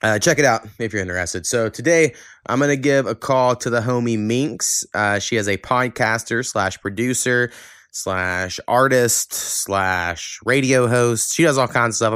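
A man's voice: lowish voice, moderate pace, a bit bright, clear, resonant tone, dry recording, studio quality. Laid-back American man hosting a podcast. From Oklahoma, United States. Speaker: podcast host. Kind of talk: casual podcast chat.

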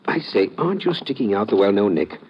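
A middle-aged police inspector, dry and teasing, his pitch rising and falling freely. His clipped speech is heard through a dull old radio recording with steady hiss behind it. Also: fairly quick. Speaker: Scotland Yard inspector. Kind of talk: 1950s radio drama acting.

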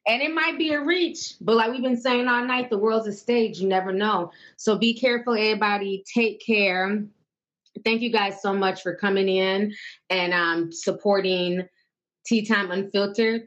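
A woman's voice: mid-range voice, fairly even intonation, moderate pace, fairly bright tone, muffled recording. A woman hosting a call-in show, chatting casually and giving listeners advice.

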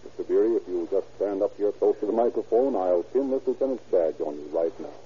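A man narrating and announcing a 1930s radio crime drama in a measured, formal manner. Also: low-pitched, dark tone. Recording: tinny old radio recording with faint hiss.

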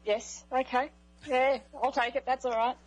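A woman's voice: sits high, flat delivery, normal speed, low-quality recording. An Australian woman speaking over a Skype call as a guest, friendly and earnest, laughing readily. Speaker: guest clairvoyant medium. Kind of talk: interview on a call.

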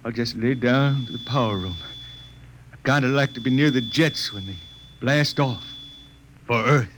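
An actor playing a folksy, stubborn old blind spaceman in a vintage American radio drama, with a halting, rambling way of talking. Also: close to an everyday voice. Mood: pleading, meek. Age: older. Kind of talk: 1950s radio drama acting. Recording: old radio recording, loud hiss and rumble.

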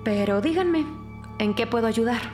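A woman's voice: fairly high pitch, lively intonation, normal speed, a little mellow, soft background music, lightly acted. A woman playing a guarded, anxious wife under questioning in an audio drama, hesitant and evasive. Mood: guarded, uneasy. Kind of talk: scripted audio drama acting.

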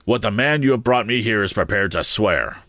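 A man's voice: on the low side, lively intonation, a little fast, a bit bright, no echo. A man voicing a stern, domineering middle-aged lawyer who speaks curtly. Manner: curt, severe.

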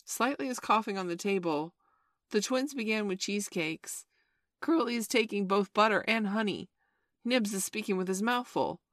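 An indignant little boy played by a woman storyteller, insistent and whiny as he tells tales. The voice is pushed higher, in lively storybook style with a dry, close sound. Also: normal speed, dry recording, natural voice. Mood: tattling. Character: indignant tattling little boys, quick to complain. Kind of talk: children's storybook reading.

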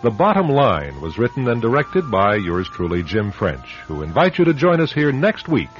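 A man's voice: mid-range voice, wildly swooping pitch, normal speed. An American man hosting a radio drama series with scripted narration. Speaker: host, writer and director. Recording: dull radio recording. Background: music.

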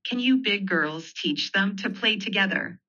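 A woman's voice: mid-range voice, expressive, unhurried, sharp tone. A woman voicing a kindly, patient mother rabbit in a storybook, coaxing in a motherly way. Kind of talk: storybook character voice. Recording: dull lo-fi audio.

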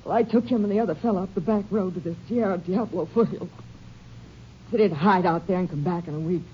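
A woman's voice: on the low side, fast, natural voice. Frightened gangster's girlfriend played by a woman, muffled radio. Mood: resigned confessing. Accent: American. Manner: pleading, anxious. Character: frightened, evasive young woman shielding a dangerous boyfriend. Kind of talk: 1950s American radio drama acting. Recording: muffled early-1950s radio, faint noise.

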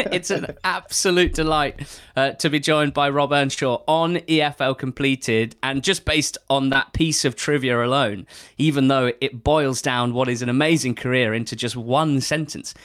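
A man co-hosting a podcast, chatting in an animated way and laughing often. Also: medium pitch, moderate pace. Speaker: podcast co-host. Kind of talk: casual chat.

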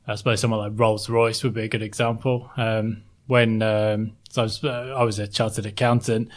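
Halting well-spoken Northern English man. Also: fairly low pitch, little pitch movement. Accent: softened Northern English, well-spoken. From Sheffield, England. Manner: hesitant, many ums and restarts. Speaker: guest, former chartered accountant. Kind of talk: interview answers.

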